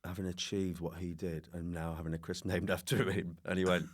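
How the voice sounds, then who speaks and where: A man's voice: sits low, level tone, brisk. A man chatting casually as a podcast guest, telling rambling, self-deprecating comic anecdotes with a giggly manner. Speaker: guest comedian.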